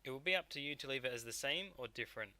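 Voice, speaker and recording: A man narrating a software tutorial step by step as a technical instructor. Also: medium pitch, animated, racing.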